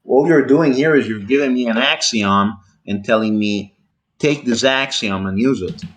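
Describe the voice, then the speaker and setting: A man from Italy, not a native speaker, debating on a voice call from his family home. He interrupts often and probes each point with care. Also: medium pitch. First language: Italian. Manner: interrupting, probing, deliberate. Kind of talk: casual online debate.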